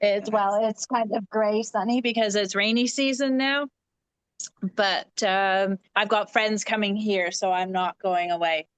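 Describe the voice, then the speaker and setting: A woman with a Canadian accent talks casually on a group video call. Her voice is clear and smooth, mid pitched, with very little room sound. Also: fairly even intonation, unhurried, a bit bright, dry recording, rough recording. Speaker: call participant. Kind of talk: casual chat.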